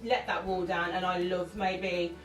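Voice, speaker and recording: A woman's voice: on the low side, little pitch movement, a little slow. Woman, interview guest, open and emotional, laughs easily, distant roomy mic. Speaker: interview guest, singer and actress. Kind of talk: candid conversational interview. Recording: echoey room, mic far off, music underneath.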